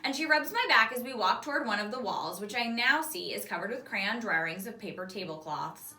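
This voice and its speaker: A woman reading a children's novel aloud like a teacher, expressive and animated, acting out the dialogue. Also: medium pitch, fairly quick. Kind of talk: expressive storybook read-aloud. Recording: roomy echo, distant mic.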